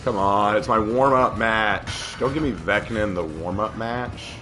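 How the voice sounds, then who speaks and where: A man with a slightly hoarse voice, casually chatting and reacting as he streams a game. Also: lowish voice, unhurried. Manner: talkative, opinionated, laughs at the game. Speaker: livestreamer. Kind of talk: casual gameplay commentary.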